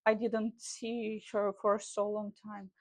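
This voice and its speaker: A woman's voice: medium pitch, level tone, slow, a little mellow. A young woman from Ukraine with a Ukrainian accent, talking casually in a vlog in loose, filler-filled English. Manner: chatty, loose non-native English with frequent fillers. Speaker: traveling model.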